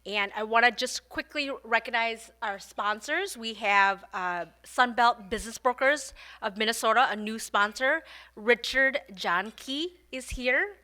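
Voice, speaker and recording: A woman's voice: fairly high pitch, measured pace, pushed and bright. A woman hosting a business club meeting, giving announcements and introducing the speaker in a friendly way, with many fillers. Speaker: event host.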